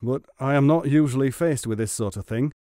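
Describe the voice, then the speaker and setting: A man's voice: mid-range voice, a little fast, mellow, no room sound, studio quality, lightly acted. A man voicing a gullible, bad-tempered rustic muleteer in a storybook, exclaiming and stammering. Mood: puzzled. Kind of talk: storybook character reading.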